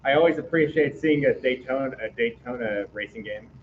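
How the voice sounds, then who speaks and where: A man trading quick joking asides in a casual group chat. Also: mid-range voice. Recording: echoey room, muffled, faint noise.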